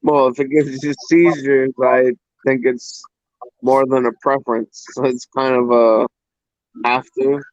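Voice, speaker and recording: A man's voice: medium pitch, little pitch movement, measured pace, dry recording. A man in his forties chatting in an easygoing way as a guest on a live stream. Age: 40s. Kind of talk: casual chat. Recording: dull, dry call audio.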